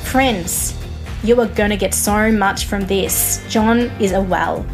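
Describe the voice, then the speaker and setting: A woman's voice: medium pitch, slow pace, music in the background. A woman with an Australian accent, hosting a podcast interview in a chatty, enthusiastic way. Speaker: podcast host.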